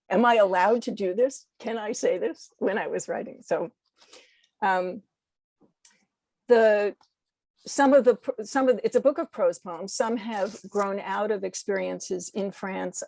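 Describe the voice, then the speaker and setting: A woman poet talks and reads as a guest in a thoughtful, slightly hesitant way. Her voice is of average pitch with plenty of fry. The sound is close and dry, without room echo. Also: a little mellow. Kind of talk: reflective literary talk and reading.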